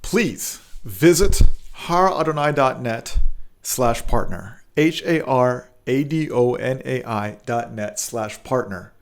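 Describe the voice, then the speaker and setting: A man's voice: medium pitch, expressive, slow pace. A man hosting a motivational podcast, earnest and prone to repeating words and restarting, who also speaks Hebrew. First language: bilingual, speaks Hebrew. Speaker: podcast host.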